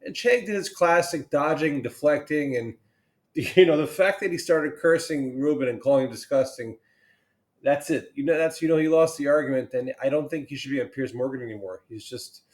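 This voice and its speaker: A man's voice: a little high, moderate pace. A man giving emphatic, disparaging political commentary in an opinionated style.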